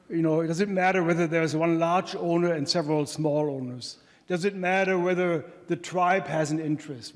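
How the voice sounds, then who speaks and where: A middle-aged man with a German accent, an economics professor giving a conference talk from a podium, speaking haltingly with many restarts and fillers. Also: a little high. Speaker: economics professor presenting research. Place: large conference hall, at a podium. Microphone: handheld microphone. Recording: hall reverb.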